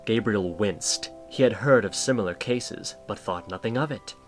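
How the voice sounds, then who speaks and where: A man's voice: on the low side, normal speed, faint music behind. A man reading scripted story narration as the narrator of an audio drama.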